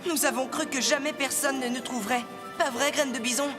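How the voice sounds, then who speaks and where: Woman voicing a brave high-voiced young boy. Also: steady tone, fairly quick, lightly acted. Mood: relieved. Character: brave, level-headed young Sioux boy hero. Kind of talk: French animated series dubbing. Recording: music behind the voice.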